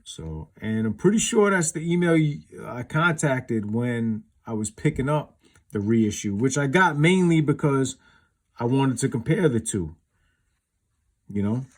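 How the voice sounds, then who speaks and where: A man's voice: medium pitch, expressive, unhurried. A man giving a casual, unscripted comparison as a collector hosting his own video, explaining things in an informal, rambling way. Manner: informal, rambling, explanatory, peppered with you knows.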